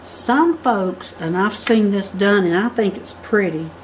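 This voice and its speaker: A woman's voice: medium pitch, a little slow, slight background noise. A woman teaching a pattern-drawing tutorial, chatting through each step as she works at a table. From United States. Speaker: craft instructor. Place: indoors at a work table.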